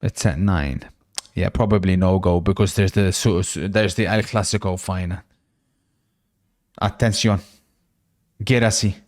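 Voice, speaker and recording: A man's voice: sits low, unhurried, long pauses, a little mellow. A man hosting a live football watch-along stream, chatting casually, reacting to the match and reading out viewer messages.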